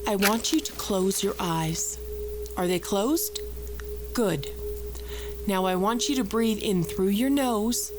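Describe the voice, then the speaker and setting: A woman plays a calm female psychologist with a slow, measured delivery. She coaxes and soothes like a hypnotist, controlled and repetitive, in an audio drama. It all sits on an old cassette recording with clear hum and noise. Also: mid-range voice, close to an everyday voice. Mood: soothing. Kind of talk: audio drama therapy session.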